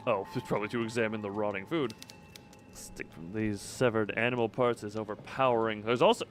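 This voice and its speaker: A man's voice: on the low side, steady tone, a little fast, faint music behind. A man giving casual live game commentary, thinking aloud with playful, quirky turns of phrase. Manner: playful, quirky word choices. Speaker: video game streamer.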